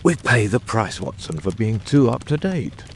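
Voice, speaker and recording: A man playing a brilliant, exacting, eccentric detective in a dramatised audiobook, speaking with precise, erudite phrasing and deliberate pauses. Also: on the low side, very animated, slight background noise. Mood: brisk, reasoning. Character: brilliant, eccentric middle-aged male detective, exacting and theatrical.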